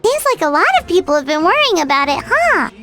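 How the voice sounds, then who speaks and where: A woman voicing a small, excitable, blunt fairy companion in a video game, squeaky and childlike. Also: quick pace, music in the background. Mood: nervous, eager. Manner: squeaky, refers to herself in third person. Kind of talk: video game character acting.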